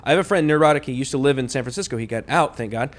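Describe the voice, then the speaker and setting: A man's voice: mid-range voice, racing. A man co-hosting a talk show with casual, joking banter.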